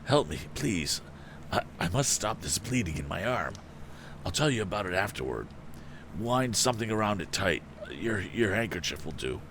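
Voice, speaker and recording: Wounded storybook hunter, male narrator putting it on: weak, halting, pleading, strained and faint. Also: low-pitched, sing-song, fairly bright tone, slight background noise, heavily acted. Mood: weak, pained.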